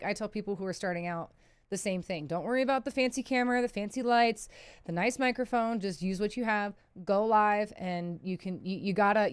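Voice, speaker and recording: A woman's voice: medium pitch, smooth, no room sound. A woman from the chats casually on a livestream, answering questions in a candid, self-deprecating, sweary way. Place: indoors, seated at a PC.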